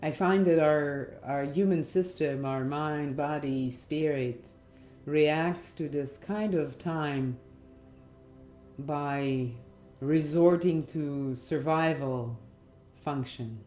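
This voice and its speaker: A very slow-speaking middle-aged woman leads a reflective meditation, leaving gentle pauses between phrases. Her tone is soft-edged and mellow. The voice sits in a roomy echo with faint music underneath. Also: sits low. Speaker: meditation guide and hypnotherapist. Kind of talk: reflective guided meditation talk.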